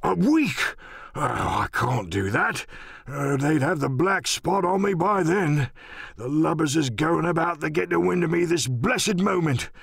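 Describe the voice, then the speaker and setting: Gravelly, cantankerous old captain. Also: male voice, a little high, a bit bright, dry recording, clean studio sound, voice pushed up, exaggerated voice. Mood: alarmed, defiant. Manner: rambling, salty seafaring talk, cursing. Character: sick, rum-craving old pirate sea captain, cantankerous and pleading. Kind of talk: audiobook radio-play character acting.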